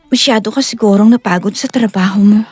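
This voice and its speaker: A woman voicing a meek, gentle young wife who speaks timidly, deferentially and with affection. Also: mid-range voice, brisk, breathy edge, no echo, faint music behind, voice pushed down, lightly acted. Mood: tender. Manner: timid, deferential, soft-hearted.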